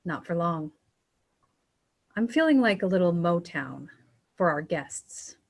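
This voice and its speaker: A woman plays a coolly threatening criminal operative. Her voice is a little low, and she delivers the part as in a screenplay table read. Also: lively intonation, slow, creaky, darkish tone, heavily acted. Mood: cool menace. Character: cool, menacing female criminal operative.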